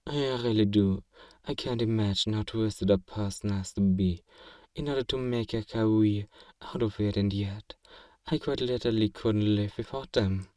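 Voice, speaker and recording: A man playing a kind, courteous vampire gentleman in an ASMR roleplay, speaking gently and reassuringly to the listener in an old-fashioned, genteel way. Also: on the low side, normal speed, no room sound, studio quality, lightly acted. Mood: apologetic, candid. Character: kind, wealthy, genteel male vampire owner, soothing and possessive.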